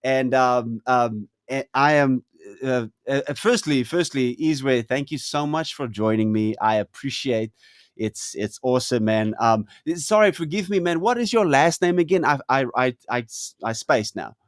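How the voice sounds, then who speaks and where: A man from South Africa hosting a casual video-call conversation, talking in a halting way with many fillers and restarts. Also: mid-range voice, lively intonation, dry recording, clean studio sound. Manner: hesitant, lots of ums and restarts. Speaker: host.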